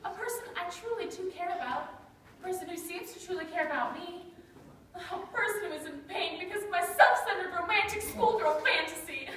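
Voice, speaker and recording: A woman's voice: extremely high pitch, expressive, a little fast, fairly bright tone. A young woman playing an anxious, overthinking, romantic girlfriend in an earnest, melodramatic readers' theater comedy. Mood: guilty, distraught. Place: school chapel stage before an audience. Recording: very echoey hall, distant mic, faint noise.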